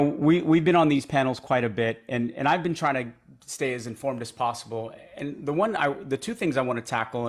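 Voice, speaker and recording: A male host asks questions in a meandering, halting way, restarting his phrases as he goes. He speaks in a plain mid-range voice over a video call. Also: mid-range voice. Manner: rambling, hesitant questions with restarts. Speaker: interview host. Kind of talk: conversational interview.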